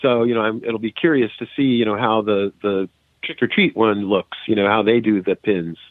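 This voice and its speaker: A man's voice: fairly low pitch, fairly even intonation, moderate pace, no room sound. A man contributing to a podcast in casual chat, heard through thin, phone-like audio. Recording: thin phone-like radio sound.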